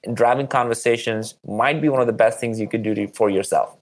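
A man's voice: mid-range voice, a little fast. A man speaking as a marketing guest in an interview, conversational and earnest, repeating words for emphasis.

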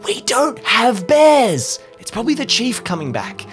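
Man playing a flustered, dismissive local park ranger, animated pitch. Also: measured pace, soft background music, exaggerated voice. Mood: hushed, exasperated.

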